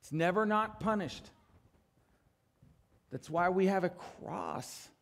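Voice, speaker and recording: A middle-aged male pastor preaching a sermon from a church stage. He swings between loud, emphatic declarations and quiet, low asides, and asks the congregation for assent. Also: takes it slowly, gravelly.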